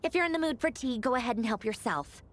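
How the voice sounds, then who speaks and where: A woman's voice: fairly high pitch, animated, fast talker, slight background noise, natural voice. A woman playing a haughty, irritable young noblewoman in a video game, clipped and sarcastic. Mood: scornful.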